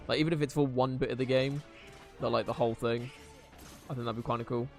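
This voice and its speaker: A man's voice: mid-range voice, steady tone, quick pace, darkish tone. A man streaming a video game, giving casual, rambling, wry live commentary. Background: game music.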